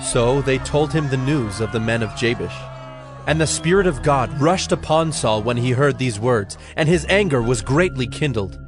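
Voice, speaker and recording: A man narrating scripture from a script as a solemn, measured storyteller. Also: mid-range voice, lively intonation, over music.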